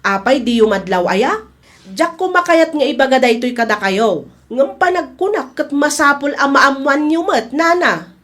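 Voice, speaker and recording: A woman's voice: high-pitched, animated, normal speed, a bit bright. A woman playing a gossipy, meddling storekeeper in an Ilocano comedy drama, confiding and disapproving, with an Ilocano accent.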